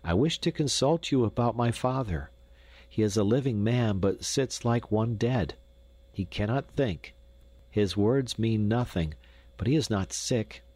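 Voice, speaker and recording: A man's voice: on the low side, unhurried, a little mellow, slightly rough, no echo, pitched above the performer's own voice. A man voicing a poor young Dutch boy who pleads earnestly with a doctor. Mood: pleading.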